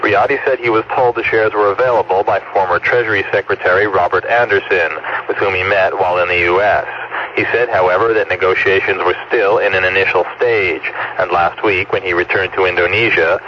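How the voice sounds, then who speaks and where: A low-pitched man reads a scripted correspondent's report. The feed is dull and clearly noisy, like a long-distance radio link. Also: fairly even intonation, fairly quick. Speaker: foreign news correspondent.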